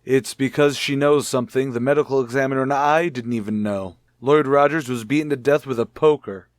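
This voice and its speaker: A man's voice: medium pitch, a little fast, no room sound, studio quality, close to an everyday voice. A man playing a shrewd, stern police lieutenant with a probing, sardonic manner in a radio mystery drama. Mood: grave.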